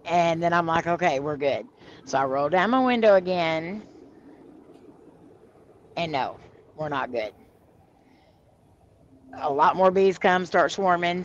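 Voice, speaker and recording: A woman hosting a live stream over a video call, telling stories in a chatty, animated way and laughing as she goes. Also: lowish voice. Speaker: host of a paranormal live stream. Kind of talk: casual storytelling chat. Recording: slightly muffled video-call audio.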